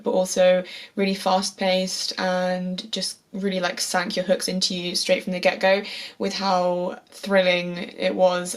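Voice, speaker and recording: A young woman with a slightly hoarse, sore voice, chatting casually about books to camera as a vlogger, enthusiastic and repeating words for emphasis. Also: medium pitch. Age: young adult. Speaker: book vlogger. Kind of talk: casual book chat to camera.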